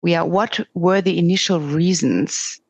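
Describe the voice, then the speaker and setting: A woman with a Swiss German accent, German her first language, talking earnestly in English and often restarting her sentences. Her voice sits low, and it sounds dry and close with no room echo. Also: vocal fry. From Switzerland. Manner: earnest, candid, with halting restarts. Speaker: podcast guest. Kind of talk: interview conversation.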